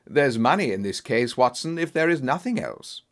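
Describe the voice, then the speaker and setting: A man voicing a confident, observant gentleman detective in a storybook, with an assured, brisk and dry manner. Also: medium pitch, animated, lightly acted. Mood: observant, pleased.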